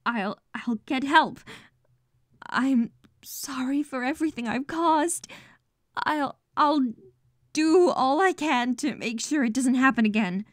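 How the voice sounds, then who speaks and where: An actress voices a fragile young female unicorn from a cartoon fan drama. Her high voice is soft and halting, a slow, remorseful murmur recorded dry and close. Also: dry recording. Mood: remorseful, tearful. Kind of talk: fan audio drama acting.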